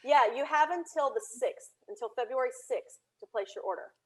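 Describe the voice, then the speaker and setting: A woman's voice: sits high, moderate pace, husky. A woman talking casually as an interview guest, explaining her work in a chatty way. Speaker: podcast guest, audio drama producer.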